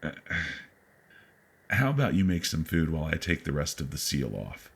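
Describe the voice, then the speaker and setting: A man's voice: very deep voice, wildly swooping pitch, moderate pace, gravelly, breathy edge, full character voice. A man voicing a scheming, condescending husband in a horror story, exasperated with his wife. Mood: dismissive.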